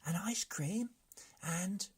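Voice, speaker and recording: Eager storybook brigand, played by a male reader: raised pitch, husky edge, coaxing and inventive. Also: very slow, heavily acted. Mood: enthusiastic. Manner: enthusiastic, coaxing. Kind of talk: storybook character voice.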